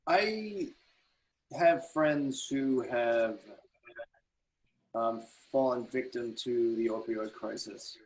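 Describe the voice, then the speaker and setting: A man giving a halting, measured answer as a playwright in a discussion over a video call. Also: medium pitch, a little mellow, rough recording. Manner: hesitant, measured.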